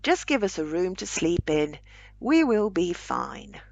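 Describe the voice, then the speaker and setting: A woman's voice: lowish voice, very animated, measured pace, vocal fry, dry recording, lo-fi sound, full character voice. A woman voicing a tired, gracious male foreign president in a read-aloud story. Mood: weary, gracious.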